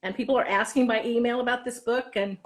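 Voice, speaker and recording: High-voiced, chatty woman in an interview. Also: steady tone, fast. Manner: chatty, acts out quoted dialogue. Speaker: interview guest, author and drama teacher. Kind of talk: animated casual interview talk.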